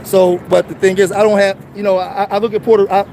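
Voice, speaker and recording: High-pitched shouting man. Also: level tone, quick pace, background noise. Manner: shouting, emphatic, profane. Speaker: protest speaker. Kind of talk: impassioned street speech, then street chat. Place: outdoor city street protest.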